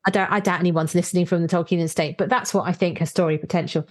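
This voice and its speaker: A woman's voice: on the low side, steady tone, quick pace. A woman, speaking as a podcast host in a conversational style. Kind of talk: conversational podcast interview and promo.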